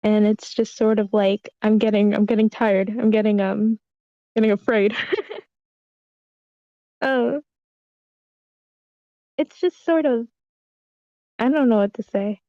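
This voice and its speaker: A woman's voice: mid-range voice, steady tone, takes it slowly, pauses often, dark tone, no room sound. A woman giving casual feedback in an online meeting, tentative and giggly, often trailing off. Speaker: table-read participant giving script feedback. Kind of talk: casual spoken critique. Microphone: online meeting call. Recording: dull lo-fi online meeting audio.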